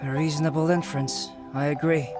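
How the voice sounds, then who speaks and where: A man voicing a calm, analytical young scholar in a video game. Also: on the high side, fairly quick, lightly acted. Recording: music with mallet percussion behind the voice.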